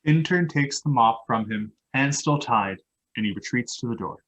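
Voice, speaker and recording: A man's voice: medium pitch, normal speed, fairly bright tone, lo-fi sound. A man narrating stage directions as scripted reading for a play.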